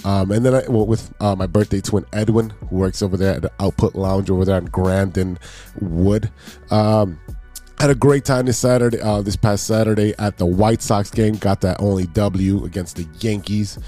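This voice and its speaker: A man's voice: on the low side, steady tone, moderate pace, mellow, faint music behind. A man hosting a casual sports and news podcast, sounding nasal and stuffy from allergies, rambling and joking with restarts. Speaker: podcast host.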